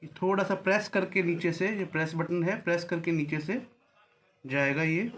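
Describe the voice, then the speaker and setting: High-voiced man in an echoey room. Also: moderate pace, fairly bright tone. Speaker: vlogger presenting a product unboxing. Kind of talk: casual hands-on demonstration. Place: small furnished office room, indoors. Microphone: camera a few feet away across a desk. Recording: roomy echo, faint background noise.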